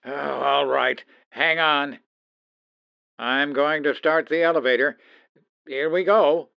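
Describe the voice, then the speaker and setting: A man's voice: a little high, fairly even intonation, takes it slowly, creaky, clean tone, no room sound, dull audio. A man playing an authoritative, protective middle-aged father and officer in an old-time radio drama, urgent and theatrical. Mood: determined. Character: commanding, protective father, suspicious military officer.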